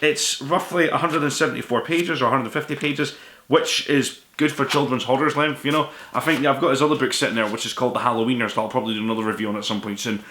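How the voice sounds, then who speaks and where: A man's voice: medium pitch, steady tone, fast talker, pushed and bright, slight background noise. A man in his twenties or thirties giving a casual, rambling book review to camera as host of his own show. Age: 20s to 30s. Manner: rambling, self-deprecating, informal. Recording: echoey room.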